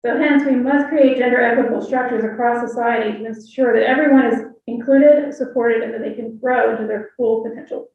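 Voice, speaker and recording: A woman panelist giving a prepared, measured presentation read from notes. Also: a little high, steady tone, vocal fry. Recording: distant, phone-like, echoey room.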